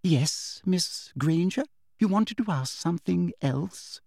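A prim, patronizing schoolmistress, put on by a man reading a storybook, who talks in a soft, sugary, high voice that rises and falls in a sing-song. Her sweetness never sounds sincere. The recording is dry and close. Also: expressive, slow pace, dry recording, full character voice. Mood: falsely sweet. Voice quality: sweet, breathy, prissy and patronizing. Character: sugary, condescending female teacher, falsely sweet.

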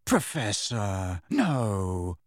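A man's voice: lowish voice, very animated, drawn-out words, no echo, clean studio sound, voice pushed down, heavily acted. A man voicing an angry, resentful teenage schoolboy who mutters and then bursts out. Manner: sullen muttering turning to angry outbursts.

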